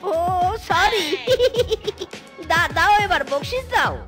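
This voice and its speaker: A woman's voice: extremely high pitch, lively intonation, slow pace, full character voice. A woman voicing a bumbling, boastful blind young man in a cartoon, bragging and swearing. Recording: cartoon music behind.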